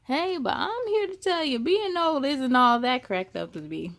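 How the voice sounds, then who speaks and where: A woman's voice: high voice, expressive, moderate pace, fairly bright tone, slight background noise. A woman hosting a children's story time, reading aloud expressively with encouraging, chatty asides to young viewers. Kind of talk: animated children's read-aloud.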